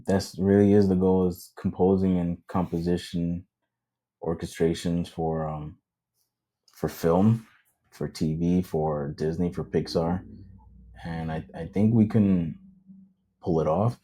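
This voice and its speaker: Low, mellow-voiced male podcast host. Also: steady tone, takes it slowly, long pauses. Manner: hesitant, many ums, switches into Spanish. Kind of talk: casual chat.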